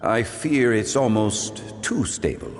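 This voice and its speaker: An actor playing a composed, scholarly gentleman in a video game, speaking in formal, courteous phrases. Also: lowish voice, huge pitch swings, slow, gravelly, big-room sound, soft background music, heavily acted. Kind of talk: scripted video game dialogue.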